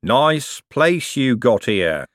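A man voicing a gruff, rich businessman, who talks slowly and boastfully. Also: medium pitch, big pitch swings, dry recording, studio quality, voice pushed up. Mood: genial. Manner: boastful, blunt, slow and emphatic. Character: gruff rich working-class male businessman.

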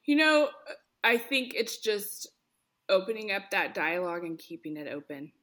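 A woman speech therapist talking in an earnest, expressive way, often acting out examples as quotes. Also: medium pitch, normal speed. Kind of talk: conversational interview.

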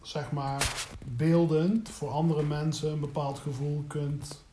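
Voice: medium pitch, little pitch movement, takes it slowly. A person in reflective casual conversation, searching for words and often repeating them, as if being interviewed. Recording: echoey room, faint background noise.

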